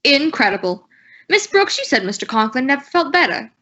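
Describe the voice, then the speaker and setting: Woman playing formal gentleman, bright voice. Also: high voice, very animated, brisk, heavily acted. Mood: disbelieving. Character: stern, formal male gentleman in authority. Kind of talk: old-time radio comedy acting. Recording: dull lo-fi recording.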